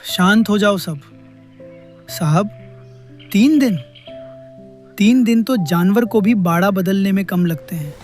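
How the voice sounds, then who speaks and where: Man playing a slow, earnest elderly village patriarch. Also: high-pitched, darkish tone, soft background music. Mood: pleading, earnest. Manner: measured, earnest. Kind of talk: animated moral story dialogue.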